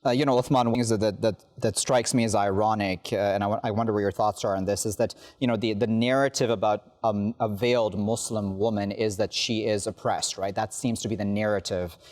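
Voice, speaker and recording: A man's voice: on the low side, steady tone, a little fast, hardly any pauses, darkish tone. A man hosting a news panel, asking questions in a conversational way with many fillers. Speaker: host.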